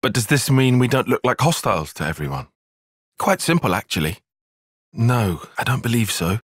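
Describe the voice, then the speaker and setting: An actor playing a bookish young male soldier-tactician in a video game, with a British accent and a measured, formal, dry way of speaking. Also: mid-range voice, sing-song, no room sound. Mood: calm, reasoning. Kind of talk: video game cutscene voice acting.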